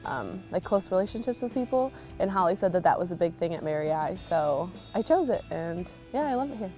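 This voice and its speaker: Low-voiced young American woman, chatting casually. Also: animated, fairly quick, warm tone, soft background music. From Chicago, United States. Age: young adult. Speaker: exchange student interviewee. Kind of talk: casual interview answers.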